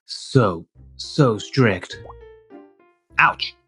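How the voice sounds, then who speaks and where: Man playing a slow, low-voiced wistful guardian. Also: animated, sharp tone, breathy edge, soft background music, heavily acted. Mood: meek, weary. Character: mild, wistful adult man, a devoted guardian. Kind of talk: scripted first-person manga dub narration.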